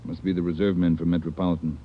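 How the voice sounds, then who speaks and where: An American man playing a gruff, commanding police chief of detectives in an old radio crime drama, brisk and authoritative. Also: low-pitched, little pitch movement. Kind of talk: 1940s-50s radio crime drama acting. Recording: dull old radio recording.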